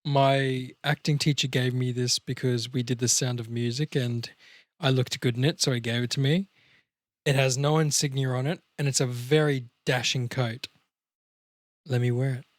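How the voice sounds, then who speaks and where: A man's voice: mid-range voice, steady tone, moderate pace, no echo. Casual, sweary man on a podcast. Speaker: podcast co-host. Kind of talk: casual chat.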